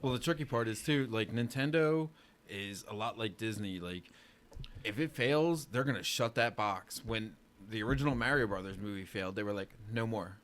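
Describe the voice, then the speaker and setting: A man's voice: fairly low pitch, moderate pace, a bit bright. A man in casual podcast debate, giving emphatic opinions in slangy language. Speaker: podcast panelist.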